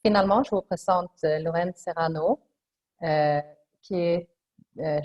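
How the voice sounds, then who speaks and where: A woman with a Swedish accent hosts a webinar panel, introducing speakers in a formal, halting way as she hunts for the right words. Her voice sits a little low, and it comes through a dull, lo-fi video call line. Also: darkish tone. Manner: halting, searching for words in French. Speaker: webinar moderator.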